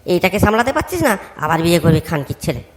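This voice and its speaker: High, quick, blunt village man acted by a man. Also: very animated. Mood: scornful. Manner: blunt, scolding, crude. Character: blunt, foul-mouthed village man judging a neighbour. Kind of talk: comedy cartoon voice acting.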